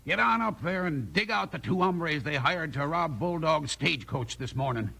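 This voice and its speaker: Gravelly, hard-nosed western gunman. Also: on the high side, lively intonation, moderate pace, pushed and bright, heavily acted. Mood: resentful. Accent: American Western. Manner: gruff, commanding. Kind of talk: 1950s radio western acting. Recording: noisy vintage 1950s radio recording.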